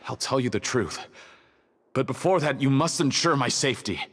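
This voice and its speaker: A man's voice: mid-range voice, expressive, moderate pace, fairly bright tone. A man voicing a jittery, resentful smuggler prisoner in a video game, defensive and self-justifying. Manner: stammering, defensive, indignant. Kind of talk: scripted video game dialogue. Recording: long hall reverb, music behind.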